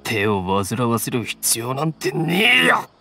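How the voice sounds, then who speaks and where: A gruff, scornful anime-game mercenary who speaks slowly with a wide swing in pitch. He is stubborn and defiant, and music plays faintly behind him. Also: wildly swooping pitch, full character voice. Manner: scornful, defiant, rough phrasing. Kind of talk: Japanese anime game voice acting.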